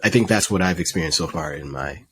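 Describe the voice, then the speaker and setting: A man hosting a casual interview, speaking as a middle school teacher in a relaxed, reflective storytelling way. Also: low-pitched, fast talker, breathy edge, no echo.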